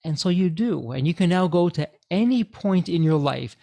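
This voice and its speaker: Upbeat gravelly male podcast host. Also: a little high, expressive, normal speed, dark tone. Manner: upbeat, theatrical setup of questions. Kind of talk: podcast interview.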